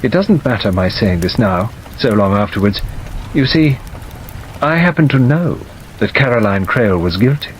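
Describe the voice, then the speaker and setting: A male narrator plays a stern older woman from a classic mystery audiobook. She is brisk, dry and precise, with firm, animated swings of pitch. Steady rain runs under the reading. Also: slight background noise, close to an everyday voice, rough recording. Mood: grave, certain. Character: stern, forthright older woman, a former governess, dry and unsentimental. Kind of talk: audiobook character dialogue.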